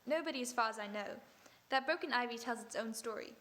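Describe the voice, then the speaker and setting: A woman playing a sharp-witted female detective in an audio drama, questioning people with dry, ironic politeness. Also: a little high, fast, lightly acted. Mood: probing. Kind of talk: vintage-style radio drama acting.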